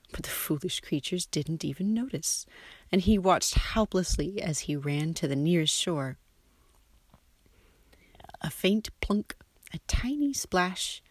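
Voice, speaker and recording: Low-voiced woman reading a story. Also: no room sound. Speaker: storyteller, shop assistant presenter. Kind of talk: scripted story read aloud.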